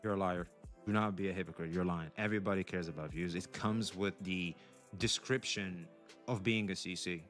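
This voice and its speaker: A man's voice: sits low, fairly even intonation, normal speed, fairly bright tone, soft background music. A man giving opinionated commentary in an emphatic, scolding way.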